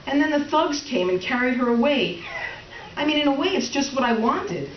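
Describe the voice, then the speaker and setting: A woman's voice: high voice, very animated, normal speed, crowd noise. A woman playing a middle-aged wife in comic readers theater, tightly wound and resentful, with brittle politeness and dry sarcasm. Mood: guilty, candid. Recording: roomy, distant, dull, faintly noisy stage recording.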